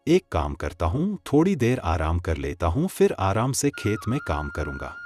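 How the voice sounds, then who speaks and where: A man playing an easygoing, lazy and doubting village farmer in a moral-story cartoon, with an expressive delivery. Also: sits low, normal speed, noisy background. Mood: weary, grumbling. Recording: music under the voice.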